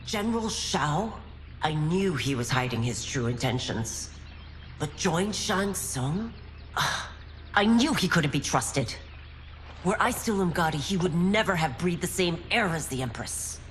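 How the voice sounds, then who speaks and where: An actress playing a proud, dutiful former royal guard in a video game, stern, formal and guarded in manner. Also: female voice, deep voice, animated, unhurried, slight background noise. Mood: suspicious, troubled. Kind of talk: dramatic video game cutscene acting. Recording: clear music bed behind.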